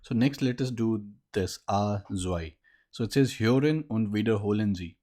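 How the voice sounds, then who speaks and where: A man in his late twenties, a native Maithili speaker, teaching a casual online lesson at a desk, explaining and pausing with fillers. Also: lowish voice, dark tone. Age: late 20s. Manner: explains in mixed speech, halting with fillers. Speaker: language teacher. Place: indoor room at a desk.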